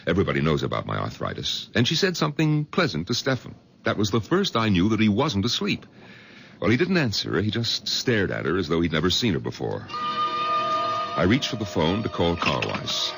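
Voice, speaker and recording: An actor playing a proud, exasperated, pompous doctor in a radio drama. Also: male voice, low voice, wildly swooping pitch, moderate pace, creaky, a bit bright, faint music behind, heavily acted. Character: middle-aged male doctor, proud and irritable. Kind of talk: 1970s radio drama acting.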